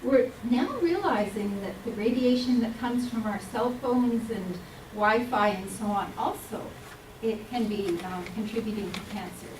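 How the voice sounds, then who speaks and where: A woman giving an earnest, explanatory public health talk, with frequent pauses and ums. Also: mid-range voice, background noise. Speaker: health speaker. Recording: roomy hall mic from a distance.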